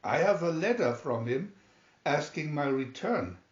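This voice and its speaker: A haughty young storybook hero, read by a man, cunning and educated. He declaims in slow, measured phrases. The sound is roomy and slightly dull. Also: a little high, animated. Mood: earnest. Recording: roomy, dull home recording.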